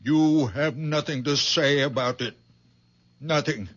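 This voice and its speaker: A man's voice: a little high, slow pace. An actor playing a very old man, a frail but stubborn father, in a 1970s radio drama. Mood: insistent. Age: older. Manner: quiet, then fiercely insistent.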